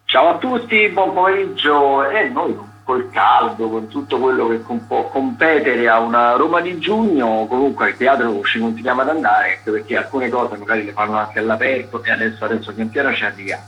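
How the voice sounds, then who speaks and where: A man presents culture listings on the radio in a chatty, halting way, digressing and correcting himself. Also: mid-range voice, pushed and bright, faint music behind, rough recording. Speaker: radio culture critic presenting a theatre agenda. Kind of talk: chatty live listings commentary.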